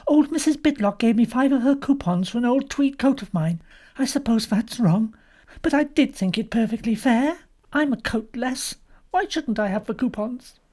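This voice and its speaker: Woman playing a fretful young wife, high and lilting. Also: moderate pace. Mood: worried. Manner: polite, fretful, expressive. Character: anxious, harried young wife running a guest house.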